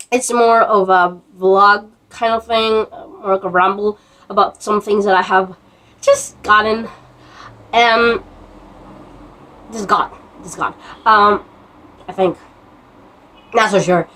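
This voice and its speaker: A woman in her twenties from Peru, rambling casually in a vlog, halting with many fillers and restarts. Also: medium pitch, fairly even intonation, a bit bright. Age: 20s. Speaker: vlogger.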